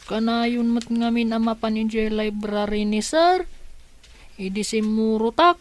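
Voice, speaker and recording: A woman acting as a curious young child in an audio drama. The voice is fairly high and slow, innocent and questioning, with small hesitations. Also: lightly acted. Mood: hesitant, curious. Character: innocent, inquisitive young child asking her aunt questions.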